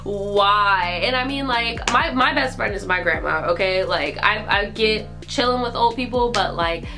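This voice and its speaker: A twenty-one-year-old woman tells a storytime vlog in a chatty, filler-heavy way. Her voice sounds congested from a cold. Music plays clearly behind her. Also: medium pitch, unhurried. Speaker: YouTuber.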